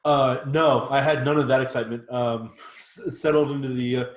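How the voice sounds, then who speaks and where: A man co-hosting a podcast, chatting casually with halting phrasing and dry teasing. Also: medium pitch, fairly even intonation. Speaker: podcast co-host. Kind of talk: casual chat. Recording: echoey room.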